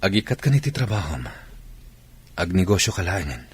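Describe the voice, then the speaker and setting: An actor playing a remorseful, jealous husband with a husky voice, pleading earnestly in an Ilocano radio drama. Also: male voice, low voice, big pitch swings, normal speed, full character voice. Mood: earnest, insistent.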